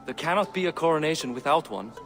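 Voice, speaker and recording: Dignified Viking king, higher-pitched, echoing. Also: animated, fast. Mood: measured concern. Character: calculating Viking king of Dublin, measured and dignified. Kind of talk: dramatic video game cutscene acting. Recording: long reverb, music underneath.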